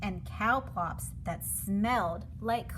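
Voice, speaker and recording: A woman narrating a children's storybook aloud in an expressive read-aloud style. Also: medium pitch, slow pace, noisy background. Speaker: storybook reader.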